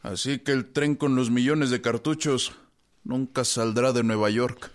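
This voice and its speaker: A man's voice: medium pitch, steady tone, measured pace, close to an everyday voice. An actor with a Mexican accent playing an indignant army aide who reports to his general in a radio drama.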